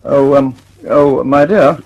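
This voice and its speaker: An actor in an old radio drama playing an absent-minded, mild-mannered inventor who speaks politely and hesitantly. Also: male voice, medium pitch, fairly even intonation, slow pace, muffled recording, music in the background. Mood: mild, puzzled. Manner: hesitant, polite, mumbling fillers.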